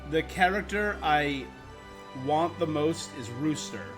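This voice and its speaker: A man's voice: fairly high pitch, animated, slow. A man streaming a game, chatting casually and thinking aloud in a rambling, opinionated way. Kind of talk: casual livestream gaming chat. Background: game music.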